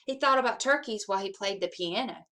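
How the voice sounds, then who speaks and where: A woman reading a children's story aloud in an expressive, animated way, acting out the dialogue with emphasis. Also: medium pitch, quick pace. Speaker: assistant principal reading aloud to schoolchildren.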